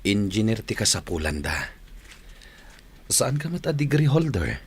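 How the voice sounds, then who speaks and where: Filipino older brother in a radio drama, deep-ish raspy voice, expressive up and down. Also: male voice, measured pace, exaggerated voice. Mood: apologetic. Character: henpecked, apologetic married engineer brother and uncle.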